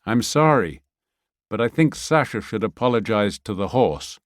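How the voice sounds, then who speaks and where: A man's voice: on the low side, expressive, moderate pace, dry recording, studio quality, close to an everyday voice. A man voicing a big, brash young man who mutters sullenly.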